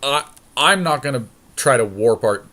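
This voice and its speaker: A man's voice: medium pitch, animated, normal speed, sharp tone. A man co-hosting a podcast, thinking aloud in casual, slangy commentary as he plays a card game draft. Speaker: podcast co-host.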